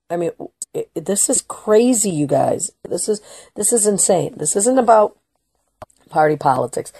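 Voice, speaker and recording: A woman's voice: lowish voice, dark tone, husky, dry recording. A woman hosting a political talk radio show, delivering an emphatic, opinionated monologue with restarts and bits of Spanish. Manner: slips into Spanish phrases now and then.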